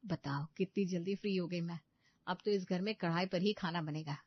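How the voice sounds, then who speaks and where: A woman's voice: on the low side, moderate pace, no echo, dull audio, close to an everyday voice. A woman voicing a scheming young daughter-in-law in a moral story, sugary to elders and smug when plotting. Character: scheming, self-satisfied young daughter-in-law cutting corners in the kitchen.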